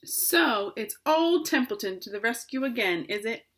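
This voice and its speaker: A woman's voice: a little high, measured pace, a bit bright. A woman voicing a lazy, grumpy male rat who talks in a sarcastic, mocking grumble.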